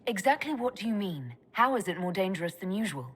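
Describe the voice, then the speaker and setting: An actress voicing a sharp, curt elf mage who leads the group in a fantasy game. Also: female voice, medium pitch, very animated, fairly quick, husky. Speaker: player character in a fantasy game. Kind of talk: video game dialogue acting.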